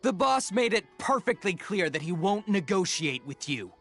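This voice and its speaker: Gruff hired thug making threats, a bit high-pitched. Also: male voice, very animated, moderate pace, fairly bright tone, exaggerated voice. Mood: threatening. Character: menacing male mercenary thug. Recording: game audio with faint background music.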